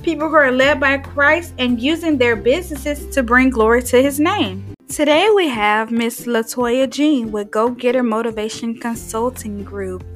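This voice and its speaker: A woman's voice: fairly high pitch, normal speed, few pauses, fairly bright tone, faint music behind. A woman hosting an interview, mostly giving short affirming replies and brief questions. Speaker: interview host.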